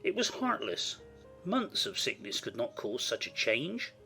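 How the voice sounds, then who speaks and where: Woman acting a stern gentleman with swooping low voice. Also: wildly swooping pitch, unhurried, slightly rough, full character voice. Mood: cold reproach. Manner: formal, reproachful. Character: stern, anguished gentleman master of the house. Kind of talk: dramatized classic novel reading. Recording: music behind the voice.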